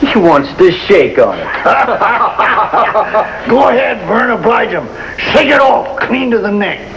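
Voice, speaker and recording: High, slow, mocking country fellow. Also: male voice, sing-song, creaky, heavily acted. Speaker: actor. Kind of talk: 1950s television drama acting. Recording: noisy old TV soundtrack.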